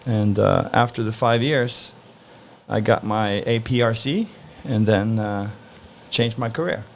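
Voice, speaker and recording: A man from Norway answering interview questions in a relaxed, thoughtful way, pausing often to find his words. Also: fairly low pitch. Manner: relaxed, thoughtful, frequent "uh" pauses. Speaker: interview guest.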